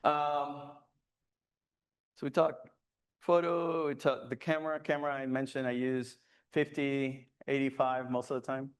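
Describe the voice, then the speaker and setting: A man's voice: mid-range voice, little pitch movement, a little slow, smooth. A man speaking as a guest at a photography club meeting, talking loosely with many fillers and restarts. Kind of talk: presentation with audience questions. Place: meeting room.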